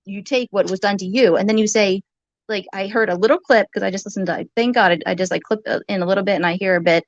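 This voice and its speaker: A woman's voice: mid-range voice, fast talker, no echo. A woman in a heated, informal argument, talking in an accusatory, rambling way and sometimes swearing. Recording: muffled lo-fi audio.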